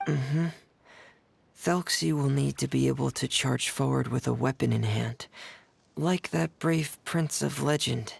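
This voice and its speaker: A man playing a shy, earnest, soft-spoken teenage boy mechanic in a video game, reserved and polite. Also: medium pitch, measured pace, vocal fry, slightly rough, dry recording, heavily acted. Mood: calm, helpful.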